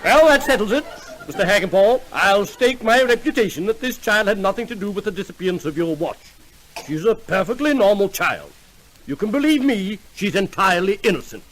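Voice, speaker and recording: Quack doctor, high lively voice, pompous, formal questioning, old-time radio comedy. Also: male voice, brisk, poor audio. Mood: emphatic. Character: pompous quack psychoanalyst doctor, patient and self-assured. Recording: old radio recording, faint noise.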